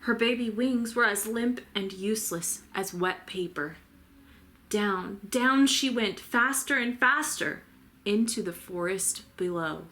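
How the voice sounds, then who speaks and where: A woman reading a children's storybook aloud as a teacher, with deliberate, dramatic delivery. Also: mid-range voice, sharp tone. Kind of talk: expressive children's storybook narration.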